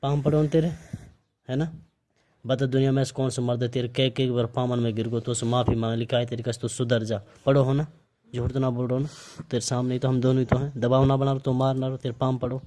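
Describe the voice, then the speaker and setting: मेवाती लहजे में उलाहना देता आदमी. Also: male voice, mid-range voice, little pitch movement, a little fast, background noise. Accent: Mewati. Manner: reproachful, repeatedly questioning. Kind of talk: private argumentative phone conversation. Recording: amateur phone call recording.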